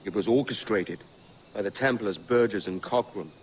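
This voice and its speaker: A male actor plays a roguish young pirate captain in a video game. He talks tersely in a fairly low voice, wry and sure of himself, with faint ambience behind him. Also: normal speed, slight background noise, close to an everyday voice, rough recording. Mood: wry, confident. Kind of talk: video game cutscene acting.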